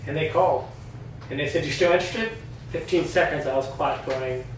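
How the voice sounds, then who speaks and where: A man's voice: a little high, fairly quick, background noise. A middle-aged man, a retired Army veteran, telling his story in an earnest, candid way as a podcast guest. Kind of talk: casual personal story. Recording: echoey room, mic at a distance.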